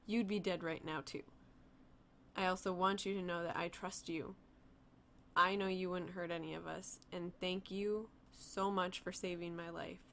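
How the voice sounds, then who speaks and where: A woman's voice: on the low side, fairly even intonation, moderate pace, a bit bright, a little hiss or hum. Woman reading an earnest young fisherman. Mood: grateful. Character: grateful young male fisherman.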